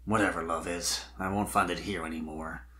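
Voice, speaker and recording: A narrator voicing a gentle, gloomy male dragon with a hesitant, subdued way of talking in a fan fiction reading. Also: sits low, steady tone, a little fast, pitched below the performer's own voice.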